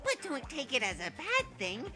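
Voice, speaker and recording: A woman's voice: high voice, wildly swooping pitch, normal speed. A woman voicing a crude, mocking robot bear mascot villain in an anime game fan dub, gleefully taunting and theatrical. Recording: music behind the voice.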